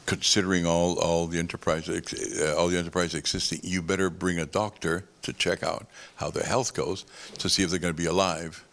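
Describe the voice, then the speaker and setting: A man's voice: sits low, level tone, brisk, vocal fry, few pauses, a bit bright. A man speaking on a conference panel, discursive and explaining with homely analogies.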